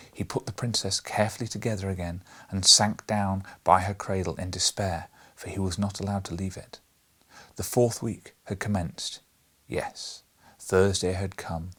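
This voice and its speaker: A man reading a children's classic aloud as an audiobook narrator, measured and dramatic in his storytelling. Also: on the low side, breathy edge.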